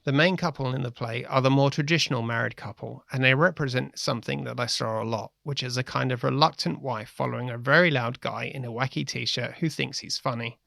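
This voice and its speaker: A man with a gravelly voice, reading first-person anecdotes as a playwright. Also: mid-range voice, fairly quick, few pauses, a bit bright, no room sound, clean studio sound.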